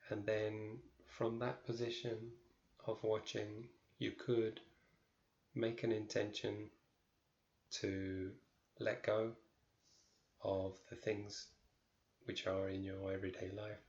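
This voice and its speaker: Low, gravelly man guiding a meditation. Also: flat delivery, darkish tone, reverberant, muffled recording. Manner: measured, with long pauses between short phrases. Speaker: meditation teacher. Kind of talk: guided meditation.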